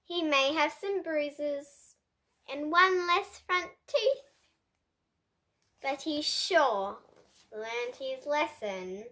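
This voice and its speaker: A child reads picture books aloud in a very high, animated voice. The delivery is very slow and playfully dramatic, with chatty asides and questions for the young audience. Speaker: host of a children's read-aloud book channel. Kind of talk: expressive storybook read-aloud with chatty asides to young viewers.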